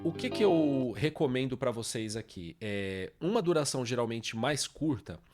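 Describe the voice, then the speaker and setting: Brazilian man giving an informal software tutorial. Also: mid-range voice, expressive, normal speed. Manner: frequent tag questions checking understanding. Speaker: music production tutorial host. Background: guitar samples and music played from software.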